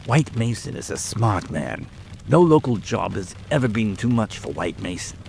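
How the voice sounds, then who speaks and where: A man's voice: lowish voice, very animated, moderate pace, creaky, darkish tone, slight background noise. A man voicing a plain-spoken, puzzled country police sergeant in an audiobook drama, blunt and folksy. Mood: resigned.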